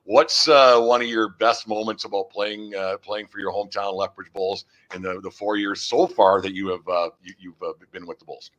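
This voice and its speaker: A man with a fairly low voice hosts a relaxed sports interview. He talks in a chatty, meandering way, working his way gradually toward each question. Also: normal speed, few pauses. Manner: rambling, chatty, circles into questions. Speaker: podcast host and interviewer.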